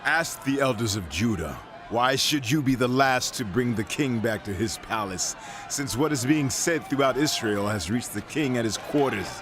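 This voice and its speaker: A man's voice: mid-range voice, animated, normal speed, background noise, close to an everyday voice. An actor playing a firm yet merciful king, speaking with declamatory authority in a dramatized audio Bible. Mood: rousing, earnest.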